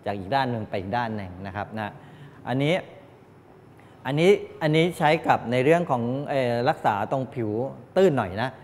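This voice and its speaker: An older man giving a classroom lecture as a teacher, explaining in a halting way with frequent polite particles. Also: expressive, darkish tone. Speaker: technical college lecturer. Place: medium-sized indoor classroom with students. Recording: reverberant room, clear background noise, low rumble.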